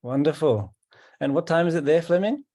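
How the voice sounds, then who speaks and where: Calm man hosting a video call. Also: fairly high pitch, normal speed. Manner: calm, repeats words while welcoming. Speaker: host. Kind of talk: online meeting welcome.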